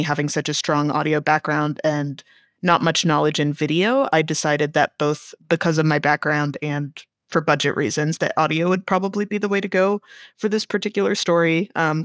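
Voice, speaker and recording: A woman's voice: low-pitched, no echo, clean studio sound. A woman speaking as an interview guest, explaining her creative work in a casual conversational way.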